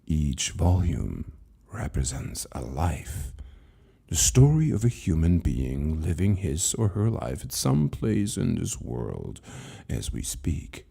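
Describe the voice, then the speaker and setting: A man voicing a benevolent, unhurried old keeper of a mysterious library in a story reading, speaking in a hushed, measured, gentle way. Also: rumbling low, very animated, vocal fry, breathy edge, voice pushed down, exaggerated voice. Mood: calm, solemn. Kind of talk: dramatized short story reading.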